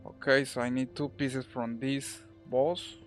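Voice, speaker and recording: A Colombian man who mainly speaks Spanish, chatting casually and a bit haltingly as he streams a game, often restarting mid-sentence. His voice sits mid-range, with faint music under it. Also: medium pitch, little pitch movement. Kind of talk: casual gameplay commentary.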